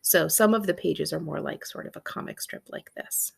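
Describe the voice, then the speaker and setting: A woman's voice: low voice, normal speed, creaky, husky. A woman, a librarian reading a children's book aloud with casual asides.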